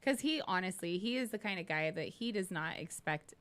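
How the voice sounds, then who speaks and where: A woman's voice: lowish voice, lively intonation, a little fast, a bit bright, smooth. A woman in her forties chatting casually and candidly as a podcast co-host, swearing freely. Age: 40s. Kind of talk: casual chat.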